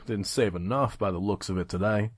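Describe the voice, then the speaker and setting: A shrewd pony scavenger, played by a man speaks low and close in a very dry room. He is guarded and mercenary, with a teasing, deadpan edge, as heard in an audio drama. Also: moderate pace, slightly rough, dry recording. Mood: dry, skeptical. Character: gruff, shrewd male pony scavenger-peddler, wary and mercenary. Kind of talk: audio drama dialogue and narration.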